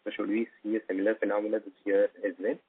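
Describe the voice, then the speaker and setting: A man with a lowish, husky voice questions company management as an analyst. He probes and seeks confirmation on each point. The sound is a narrow telephone band. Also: level tone, very fast, dry recording. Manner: probing, seeking confirmation. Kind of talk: spontaneous follow-up questions on an earnings call. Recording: dry narrow phone-line audio.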